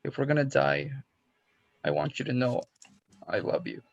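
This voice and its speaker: A man's voice: mid-range voice, normal speed, gravelly. A man playing a tender, worried man facing death in a horror script reading. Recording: dull, muffled sound.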